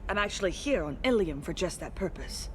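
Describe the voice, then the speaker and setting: An actress playing an earnest, polite alien woman in a video game, speaking in a measured, diplomatic manner. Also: on the low side, animated, slight background noise, lightly acted. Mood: hesitant, grateful. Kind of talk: video game dialogue acting.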